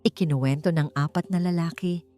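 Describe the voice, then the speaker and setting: Female Filipino audiobook narrator, lower register, measured and suspenseful delivery, soft music underneath. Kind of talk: dramatic scripted story narration.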